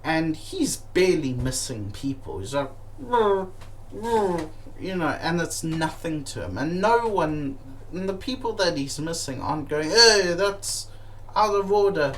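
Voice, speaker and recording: A man telling comic stories about his travels, animated and profane, with many fillers and restarts. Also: on the high side, takes it slowly, a little hiss or hum, poor audio. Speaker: comedic storyteller.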